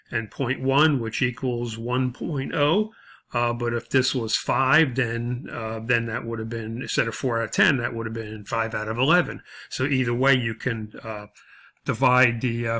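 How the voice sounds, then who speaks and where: A man with a bright, forward voice at a middle pitch gives an informal video lesson. He explains things step by step like an instructor, often pausing and hesitating as he goes. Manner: explanatory, halting with frequent hesitations.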